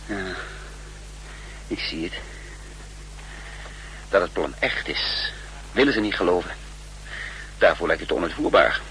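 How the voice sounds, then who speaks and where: An actor playing a shrewd, weary spymaster on the run in a 1960s radio drama, speaking deliberately and broodingly. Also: male voice, low-pitched, lively intonation, pushed and bright, full character voice, rough recording. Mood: grim, brooding. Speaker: lead actor and first-person narrator. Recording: humming, hissy 1960s radio recording.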